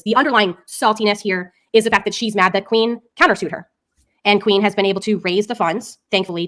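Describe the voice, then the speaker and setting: A woman's voice: mid-range voice, fairly bright tone. A woman giving informal, opinionated online commentary in an emphatic way, with casual swearing. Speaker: commentary streamer.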